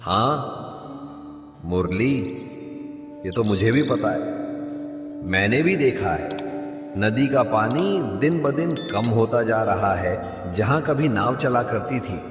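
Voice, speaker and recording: A man's voice: on the low side, lively intonation, slow, pauses often. A man playing a kind, henpecked village headman, weary and placating, in a cartoon moral story. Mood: sympathetic, kindly. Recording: distant echoey reverb, music behind.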